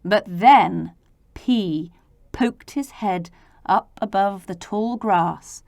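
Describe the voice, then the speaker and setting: A woman reading a children's storybook aloud in a theatrical, deliberate way, acting out the characters. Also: medium pitch, vocal fry, darkish tone, no room sound. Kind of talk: expressive children's storybook narration.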